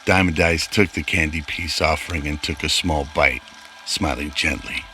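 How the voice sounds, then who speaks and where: A man's voice: very deep voice, fairly even intonation, moderate pace, pushed and bright, slight background noise. A man narrating a scripted sci-fi radio drama.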